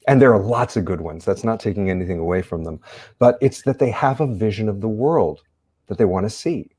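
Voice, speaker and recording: A man's voice: lowish voice, a little fast, warm tone, breathy edge. An older man from San Diego, a theater actor, chatting as a guest and telling stories in a reflective, rambling way. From San Diego, United States. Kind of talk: casual interview chat, storytelling.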